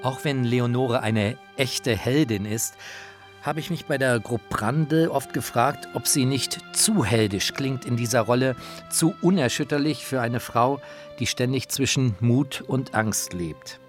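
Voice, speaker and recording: A man's voice: mid-range voice, a little slow, faint music behind. A man narrating a scripted radio feature as its presenter, in an explanatory storytelling style.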